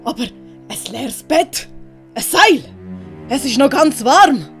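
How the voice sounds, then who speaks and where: Commedia servant clown, played by a woman: naive, exclamatory man, high-pitched, swooping delivery. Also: expressive, takes it slowly, faint music behind, exaggerated voice. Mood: alarmed. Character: excitable, simple-hearted male servant clown, food-loving. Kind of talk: commedia dell'arte audio play acting.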